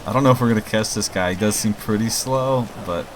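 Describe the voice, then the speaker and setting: A man's voice: lowish voice, fast talker. A man giving casual, informal let's play commentary, thinking aloud and talking to his viewers. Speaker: gaming video host and mod maker. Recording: clear game rain and traffic noise. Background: video game rain, vehicles and city ambience.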